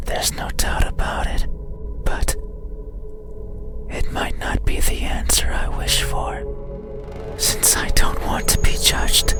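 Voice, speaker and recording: Guy doing a creepy lonely game monster, all breathy whispers, super slow and halting, loud music behind. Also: close to an everyday voice. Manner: slow, halting, brooding, deliberate phrasing. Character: lonely, eerie wordless monster craving to be seen. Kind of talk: whispered ASMR horror game monologue.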